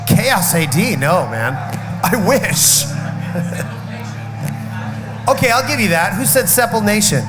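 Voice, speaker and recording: A man's voice: high-pitched, very animated, takes it slowly, long pauses. Outspoken rock singer man with a cold. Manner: outspoken, emphatic, swears. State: slight cough and cold. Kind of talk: casual festival chat. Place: festival backstage area. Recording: loud music behind.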